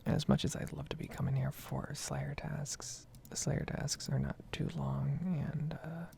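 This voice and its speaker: A woman speaking in a breathy whisper, rambling casually with some swearing in an ASMR gaming video. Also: very deep voice, little pitch movement, measured pace, warm tone, slight background noise.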